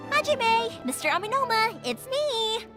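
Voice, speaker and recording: A woman's voice: very high voice, sing-song, unhurried, full character voice. A woman voicing a cheerful, talkative young fireworks seller in a video game, with a bubbly, exclamatory manner. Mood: eager. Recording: game music behind.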